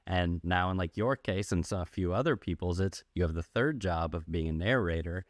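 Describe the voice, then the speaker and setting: A man's voice: low voice, brisk, dry recording, studio quality. A man hosting a casual interview, asking questions and summing up in a conversational way. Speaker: podcast host.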